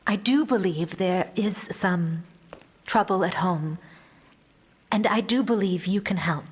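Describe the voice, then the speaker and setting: A woman's voice: mid-range voice, slightly rough. Casting: anxious young noblewoman, fantasy audio drama, mid-range voice, formal and earnest, keeping secrets. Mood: earnest, insistent. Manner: earnest, measured, formal phrasing. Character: guarded, anxious young noblewoman hiding her troubles.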